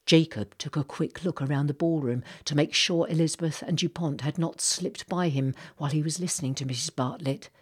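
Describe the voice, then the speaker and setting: A woman narrating fiction as an audiobook reader, with measured, dramatic storytelling. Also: deep voice, darkish tone, slightly rough.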